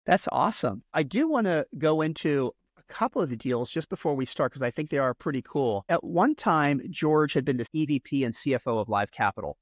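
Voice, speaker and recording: A man's voice: on the high side, animated, fairly quick, hardly any pauses, dry recording, clean studio sound. A man hosting a podcast, giving an upbeat, read introduction of his guest. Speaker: podcast host.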